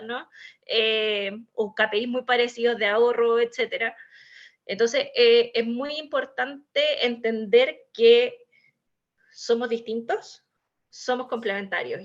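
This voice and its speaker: A woman's voice: fairly high pitch, fairly bright tone, lo-fi sound. A woman with a Chilean accent, an in-house legal manager speaking on a panel in a discursive, explanatory way with many hesitations.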